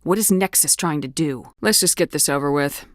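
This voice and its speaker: A woman's voice: low-pitched, moderate pace, no echo, clean studio sound, lightly acted. A woman playing a tough, decisive space soldier in a video game, speaking with firm, clipped authority. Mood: resolute.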